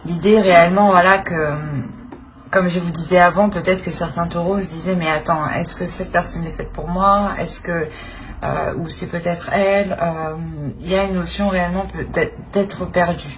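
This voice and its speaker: Low-pitched woman reading tarot, noisy recording. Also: steady tone. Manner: halting, searching for words, often clearing throat. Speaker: tarot reader. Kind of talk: informal tarot reading to camera.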